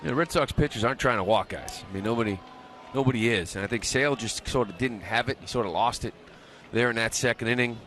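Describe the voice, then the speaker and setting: A man's voice: medium pitch, quick pace, few pauses, a bit bright. A male color analyst talks through baseball strategy in an informal way. His voice sits in the middle range. Faint ballpark noise runs behind him on the broadcast. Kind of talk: informal analytical game commentary. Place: stadium broadcast booth.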